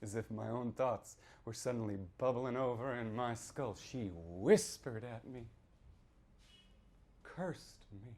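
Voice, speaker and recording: Male reader as rueful, haunted small-town yarn-spinner. Mid-pitched, folksy, halting, theatrical live-story monologue with swings from hushed to emphatic. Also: slight background noise. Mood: brooding, hushed. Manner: folksy, halting, rhetorical asides, swings between hush and outburst. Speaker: author reading from his novel. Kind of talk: theatrical dramatic reading, first-person monologue.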